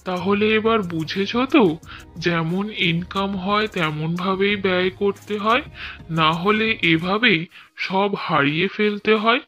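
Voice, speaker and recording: A man's voice: high voice, slow pace, pushed and bright, soft background music, full character voice. A man voicing a harried cartoon husband who complains and lectures his wife about her spending. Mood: lecturing. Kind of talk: cartoon voice acting.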